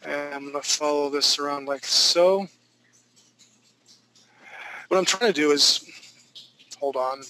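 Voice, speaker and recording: A man's voice: on the high side, slow pace, pauses often, sharp tone, a little hiss or hum, low-quality recording. A man in his thirties teaching a live drawing class, talking through each stroke with chatty joking asides. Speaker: drawing instructor.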